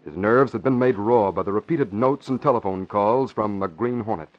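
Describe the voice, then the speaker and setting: A man narrating an old-time radio drama as announcer, in a measured, emphatic dramatic style. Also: lowish voice. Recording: muffled old radio broadcast, background noise.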